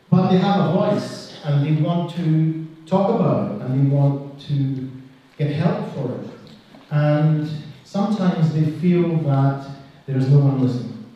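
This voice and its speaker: Israeli man speaking slowly in an echoey hall. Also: fairly high pitch. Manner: slow, deliberate, with pauses and soft drops. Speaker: clinical social worker giving a talk. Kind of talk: public speech to an audience. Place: large hall. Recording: distant, echoey, dull hall recording.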